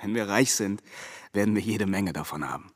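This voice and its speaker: An actor playing a restless, ambitious young French ship's hand in a radio drama, defiant and emphatic. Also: male voice, on the low side, brisk, breathy edge. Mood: determined.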